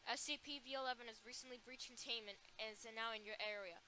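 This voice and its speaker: A woman's voice: on the high side, steady tone, fast, sharp tone, a little hiss or hum. A woman playing a flustered emergency alert announcer in an amateur scripted broadcast, stumbling and restarting phrases. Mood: urgent, instructing.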